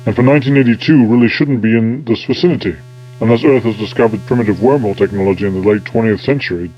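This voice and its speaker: A man's voice: on the low side, quick pace, lo-fi sound, lightly acted. A man playing an eccentric, self-important time-travelling scientist in an audio drama, pompous and absent-minded. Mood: musing.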